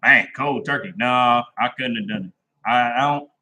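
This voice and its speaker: Man, live-stream host, plain country talker, rambling, bright tone. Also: mid-range voice, normal speed. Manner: rambling and laid-back, with many "uh"s and restarts. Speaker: livestream host chatting with viewers. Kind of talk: casual live-stream chat.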